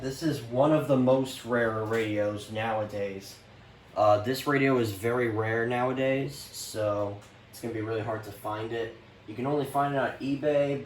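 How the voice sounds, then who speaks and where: A man giving a casual, unscripted show-and-tell as a vlogger, talking in a slangy, easily exasperated way. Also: medium pitch. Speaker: vlogger showing a radio collection. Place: indoors, room at home. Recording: distant mic, faint background noise.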